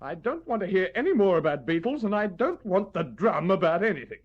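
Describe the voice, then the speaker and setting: A high, flustered army officer. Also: male voice, big pitch swings, brisk, slightly rough, exaggerated voice. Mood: exasperated. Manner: flustered, spluttering, hesitant. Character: exasperated, easily confused army officer, fussy and ineffectual. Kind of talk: old radio comedy acting. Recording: dull old radio recording.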